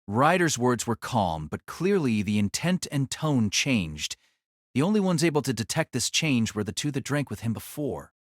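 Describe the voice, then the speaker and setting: A man's voice: mid-range voice, sing-song, moderate pace, no room sound, clean studio sound. A man narrating a fantasy story in a dramatic, theatrical, scripted style.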